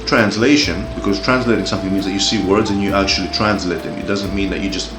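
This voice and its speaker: A deep, low-pitched man in his forties with a Greek-Australian accent, sounding off in a heated, profane rant. He stumbles and repeats words as he builds his case. His voice rings in an echoey room with music playing behind. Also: fairly even intonation, fast talker. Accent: Greek-Australian English. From Greece, raised in Australia. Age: 40s. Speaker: commentator. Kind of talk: opinion rant.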